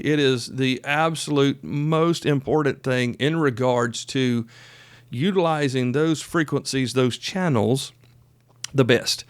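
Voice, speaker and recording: A man's voice: fairly high pitch, dry recording. A man in his fifties hosting a solo podcast in a casual, folksy, chatty way, stressing his points and drifting into asides. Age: 50s. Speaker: podcast host.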